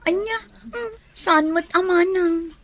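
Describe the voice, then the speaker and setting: A woman's voice: very high voice, slow pace, fairly bright tone, heavily acted. A woman playing a young woman secretly in love with her friend's boyfriend, in a radio-style drama. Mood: defensive.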